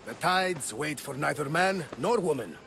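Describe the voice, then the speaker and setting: An actor playing an older, bluff ship's captain in a video game, gravelly and hearty with a grandiose turn of speech. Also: male voice, a little high, animated, unhurried, fairly bright tone, slight background noise, full character voice. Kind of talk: cinematic video game acting.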